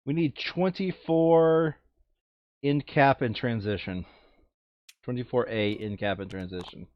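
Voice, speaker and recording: A man with a mid-pitched voice talks casually over a board game, sometimes reading out the rules and story text. He is blunt and swears freely. The sound is dry and a little dull, with a lo-fi muffle. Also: fairly even intonation, a little slow, no echo. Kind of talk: casual game table chat, some read-aloud narration.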